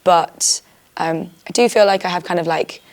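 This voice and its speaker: Chatty British woman with an even, lowish voice. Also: normal speed. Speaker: trainee-turned-early-career history teacher. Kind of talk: casual reflective conversation.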